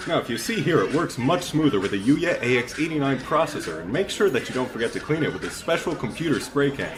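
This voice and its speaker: A man's voice: mid-range voice, sing-song, fast talker, over music, natural voice. A man playing a bitter electronics repairman villain in an audio drama, who puts on folksy politeness over arrogant contempt. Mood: falsely chipper. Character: bitter male tech repairman villain, falsely chipper with customers.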